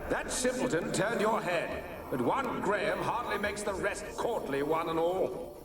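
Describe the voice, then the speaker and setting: A man's voice: high-pitched, expressive, unhurried. A man playing an irritable, haughty ghostly nobleman in a video game, scolding and dismissive. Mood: annoyed, dismissive. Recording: echoey distant voice with music.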